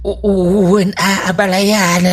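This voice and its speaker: A man's voice: high voice, level tone, takes it slowly. A man playing a bashful, eager older in-law with a stammering, hesitant way of talking, in an Ilocano radio comedy drama.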